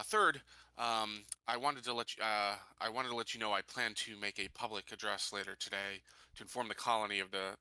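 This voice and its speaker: A man playing an earnest, dutiful colony official in a tabletop game, reporting in a formal, halting, businesslike way. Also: on the low side, pushed and bright, clear, resonant tone, no echo.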